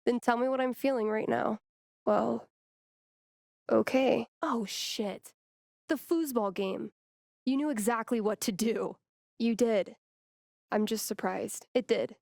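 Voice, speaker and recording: Wry, unhurried young American woman. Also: a little high, vocal fry, dry recording, clean studio sound. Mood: wry surprise. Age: young adult. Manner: casual, teasing, deadpan. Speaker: video game voice actress. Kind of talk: naturalistic game dialogue.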